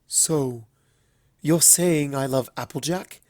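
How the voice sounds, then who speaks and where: A man's voice: mid-range voice, slow pace, darkish tone, dry recording, studio quality, lightly acted. Man as a formal, guarded pony night princess. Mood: taken aback. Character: proud, dry-witted younger pony princess of the night, female.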